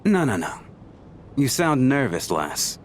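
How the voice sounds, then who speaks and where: A man's voice: medium pitch, big pitch swings, slow, a little hiss or hum. A man voicing a genial, easygoing companion who talks in a breezy, reassuring way. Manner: genial, breezy, calls her lass.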